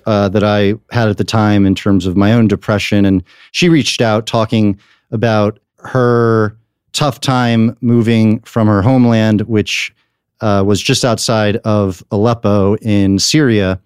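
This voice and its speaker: Low, smooth-voiced male podcast host. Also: little pitch movement, a little mellow, no room sound, clean studio sound, soft background music. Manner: quick, conversational, thanks guests. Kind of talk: casual interview chat.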